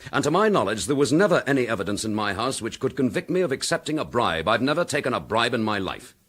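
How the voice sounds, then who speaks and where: An actor playing an accused chief inspector, dogged and defiant, speaking in a firm, measured, indignant manner in a radio drama. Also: male voice, medium pitch, very animated, a bit bright, husky. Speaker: police detective.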